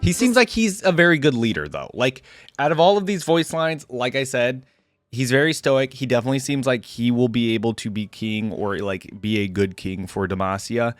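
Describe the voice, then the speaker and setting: A man's voice: mid-range voice, lively intonation, moderate pace, dry recording, soft background music. A man hosting a game reaction video, commenting casually and thinking aloud in an informal, conversational way.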